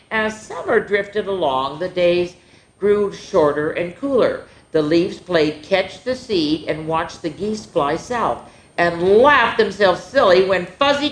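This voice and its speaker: A woman storyteller reading a picture book aloud to children, with lively, chatty asides to the kids. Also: medium pitch. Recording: roomy echo.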